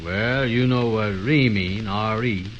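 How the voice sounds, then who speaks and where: A low-pitched, blustering know-it-all lays down his opinions in a 1920s radio comedy. He is pompous and muddle-headed, with folksy, ungrammatical speech. The sound is a dull old recording with faint hiss. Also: slow.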